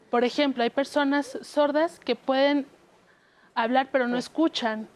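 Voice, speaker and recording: A woman's voice: sits high, darkish tone. A woman with a Mexican accent interpreting live for a deaf guest, speaking in first person with frequent restarts. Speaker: sign language interpreter voicing the guest.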